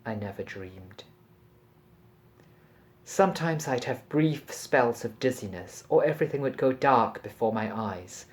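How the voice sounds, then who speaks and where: A man reading a passage from a novel aloud in a measured, deliberate and expressive storytelling manner. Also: mid-range voice.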